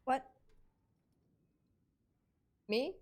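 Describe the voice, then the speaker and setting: A woman's voice: extremely slow, darkish tone. A woman giving casual gameplay reaction commentary, with playful, slangy asides. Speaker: game streamer.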